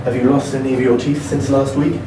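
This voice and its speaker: A man in his thirties with an English accent, teaching a language class in a quick drill style, asking each question twice and prompting the students' answers. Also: mid-range voice, little pitch movement. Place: small classroom. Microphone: camera across the room. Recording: echoey classroom, distant camera, background noise.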